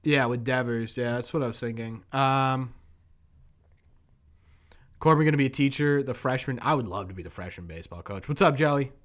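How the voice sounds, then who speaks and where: A young man with a New England accent and a hoarse voice at a middle pitch. He hosts a loose live-stream sports chat, thinking aloud, rambling and chuckling as he answers his viewers. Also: moderate pace, a bit bright. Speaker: sports podcast host.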